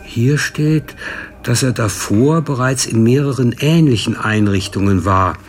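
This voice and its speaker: An actor playing a matter-of-fact male official at a care institution, measured and polite, in a naturalistic radio drama. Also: mid-range voice, background noise, close to an everyday voice. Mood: polite, businesslike.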